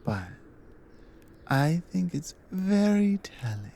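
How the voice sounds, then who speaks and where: A woman playing a devoted, dominant Nordic warrior wife in an intimate ASMR audio roleplay, speaking softly and earnestly, often dropping to a whisper. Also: fairly low pitch, big pitch swings, slight background noise, heavily acted. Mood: earnest, admiring. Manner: soft, hushed, often breathy whispering, slow and earnest.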